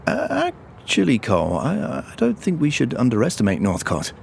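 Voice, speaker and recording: A man's voice: mid-range voice, huge pitch swings, moderate pace, gravelly, slight background noise, exaggerated voice. A man playing a blustery, scheming British intelligence agent who dithers and repeats himself in a comedic audio drama. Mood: falsely agreeable.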